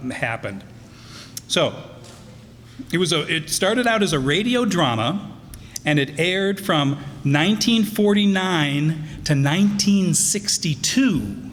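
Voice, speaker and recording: A man's voice: high-pitched, a bit bright, slight background noise. A man giving an informal, chatty introduction as host of a live radio players' show, partly reading from notes. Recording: echoey hall, live stage.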